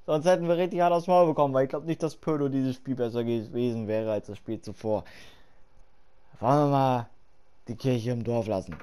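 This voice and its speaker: A hoarse man talking through his game setup in casual commentary, swearing freely and thinking aloud with fillers, late at night. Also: medium pitch, brisk. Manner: loud and excited at the start, quieter later. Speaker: gaming YouTuber. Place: home room at night.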